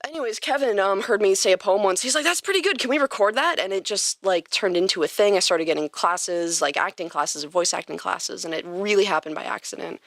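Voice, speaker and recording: A woman's voice: medium pitch, lively intonation, a little fast, few pauses, a bit bright, no echo. Casual woman podcaster in a dry studio. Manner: informal, playful banter. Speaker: podcast host and voice actor. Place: recording studio.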